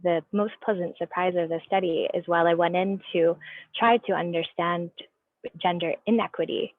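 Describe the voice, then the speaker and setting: A woman giving a research presentation on a video call, explaining in a measured way with small restarts. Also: on the low side, fairly even intonation, no echo. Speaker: guest speaker presenting research. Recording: tinny phone-like line, dry.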